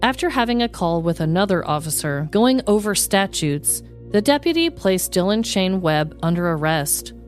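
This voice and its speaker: A woman podcast host reading a scripted, comedic true-crime story as narrator. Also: medium pitch.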